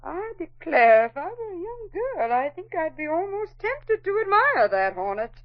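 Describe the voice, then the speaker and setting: A woman's voice: extremely high pitch, very animated, moderate pace, exaggerated voice. An actress playing a crabby, bossy old woman, fussy and indignant, scolding in an old-fashioned way in a 1940s radio drama. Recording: muffled old radio recording.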